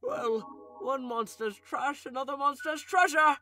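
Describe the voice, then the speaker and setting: A naive, loyal skeleton lad acted by a woman pleads in a high, bright voice. The delivery is earnest and rather slow, in a cartoon comic dub. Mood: worried, resolved. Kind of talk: animated comic dub voice acting. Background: music.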